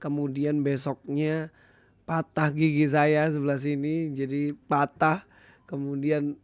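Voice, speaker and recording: A hoarse man with an Indonesian accent, giving casual commentary to his viewers as a video host. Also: on the high side, flat delivery, takes it slowly, darkish tone, no room sound. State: hoarse, recovering from illness. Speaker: YouTube host.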